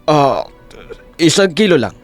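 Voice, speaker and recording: A man's voice: a little high, sing-song, a little slow, soft background music. A man playing an earnest, loyal aide to a rich don in an audio drama, speaking hesitantly and imploringly.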